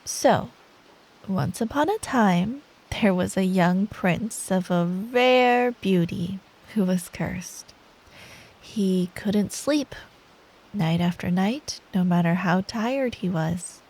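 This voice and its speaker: A woman acting as a sweet, affectionate girlfriend in a bedtime ASMR roleplay. Her voice is soft and breathy with plenty of creak, and she takes her time, teasing gently and lovingly. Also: mid-range voice, low-quality recording. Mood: soft storytelling. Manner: slow, affectionate, playfully teasing. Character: sweet, teasing, caring girlfriend telling an improvised bedtime story.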